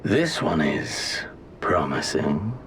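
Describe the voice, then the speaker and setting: An actor playing a cold, languid crown prince and military commander in a video game cutscene, sounding unimpressed and menacing. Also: male voice, very deep voice, very animated, slow, fairly bright tone, slight background noise, full character voice. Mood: cold, bored menace.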